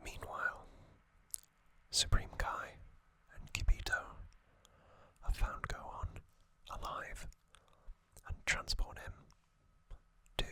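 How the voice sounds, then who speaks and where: A man whispering trivia softly for an ASMR video, close to the microphone, with frequent ums and uhs. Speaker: ASMR channel host.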